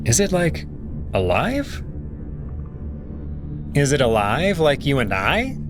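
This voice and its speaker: A man's voice: medium pitch, wildly swooping pitch, slow, music in the background. A man voicing a curious, candid young man who asks plain, casual questions.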